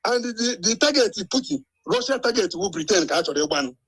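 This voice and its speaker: A man's voice: high-pitched, sing-song, quick pace, a bit bright, slightly rough, no echo. A Nigerian man joining a live stream as a guest, talking insistently and checking in with the host. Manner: insistent, keeps checking the listener with "eh?". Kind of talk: casual chat mixing Igbo and English.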